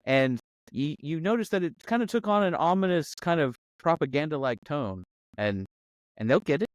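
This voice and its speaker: A man's voice: a little high, expressive, moderate pace, no room sound. A man giving advice in a casual discussion, explaining step by step and checking in as he goes. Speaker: discussion panelist on a game master advice show.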